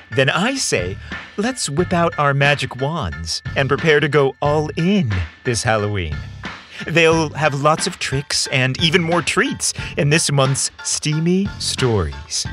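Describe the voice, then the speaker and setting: A man hosting a story podcast, reading scripted narration with theatrical, deliberate phrasing. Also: fairly high pitch.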